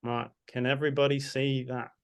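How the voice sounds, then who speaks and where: A British man presents over a video call with a smooth, clear voice. His pitch stays fairly even, and he often pauses on fillers and restarts his sentences. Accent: non-Mancunian British. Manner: hesitant, many fillers and restarts. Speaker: copywriter, guest speaker. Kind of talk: webinar presentation.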